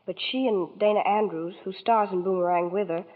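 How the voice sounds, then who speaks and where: A woman's voice: mid-range voice, fairly even intonation, brisk. A woman from New York, a guest starlet in a 1940s radio interview, speaking sweetly and politely. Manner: sweet, polite scripted chat. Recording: tinny 1940s radio broadcast.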